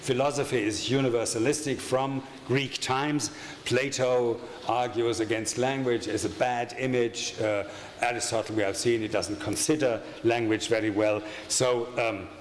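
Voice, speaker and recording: An older man with a German accent lectures at a conference in a halting way, stopping often on fillers and restarts between points. His voice carries through a roomy hall with a long tail of reverb. A faint hum of room noise sits underneath. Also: medium pitch. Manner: halting, many fillers and restarts, wry asides. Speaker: conference speaker. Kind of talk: academic lecture. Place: lecture hall with projection screen. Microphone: desk microphone at a lectern.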